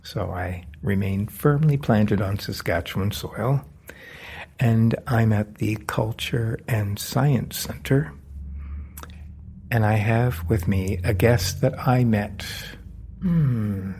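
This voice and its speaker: A man's voice: lowish voice, lively intonation, takes it slowly, warm tone, a little hiss or hum. A man hosting a podcast interview, telling how he met his guest in a conversational way, indoors at a culture centre. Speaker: podcast host.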